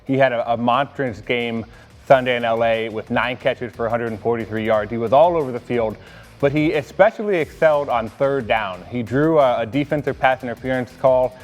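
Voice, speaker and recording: A man's voice: medium pitch, moderate pace, long reverb tail, faint music behind. An American man talking casually as a sports analyst on a team show.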